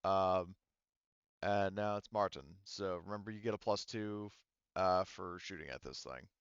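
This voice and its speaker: Deep-voiced man running a tabletop game. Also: smooth, no echo, highs cut off. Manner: halting, thinking aloud while checking rules. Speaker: game master. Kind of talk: running a tabletop roleplaying game, explaining rules.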